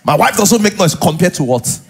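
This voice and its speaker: A middle-aged man with a Nigerian accent and a husky voice, preaching as a pastor to a live congregation in an animated, conversational way, full of interjections and rhetorical questions. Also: sits high, moderate pace. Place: large church auditorium, on stage. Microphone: headset.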